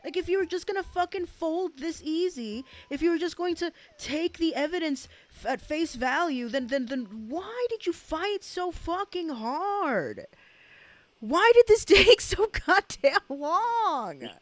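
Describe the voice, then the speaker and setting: A woman's voice: very high voice, big pitch swings, measured pace. A woman giving casual live reaction commentary as a streamer, blunt, profane and mocking. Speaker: streamer reacting to a recorded interrogation.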